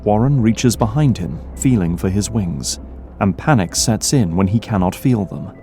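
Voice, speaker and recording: Mellow low-voiced man narrating drama. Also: animated, moderate pace, faint music behind.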